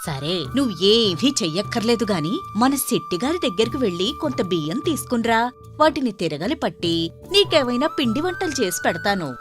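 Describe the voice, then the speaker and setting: A woman voicing a busy village mother, affectionately chiding her idle son in an animated moral story. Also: a little high, normal speed, over music. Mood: instructing.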